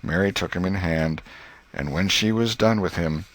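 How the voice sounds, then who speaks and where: A man narrating a classic novel as an audiobook reader, in a measured, wry storytelling manner. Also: low voice.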